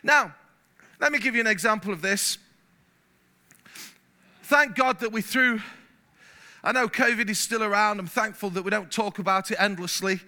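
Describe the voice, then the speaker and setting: High, bright-voiced English preacher man. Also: normal speed, pauses often. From England. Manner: animated, gets louder when stirred, repeats words. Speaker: pastor. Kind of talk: sermon. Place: church hall.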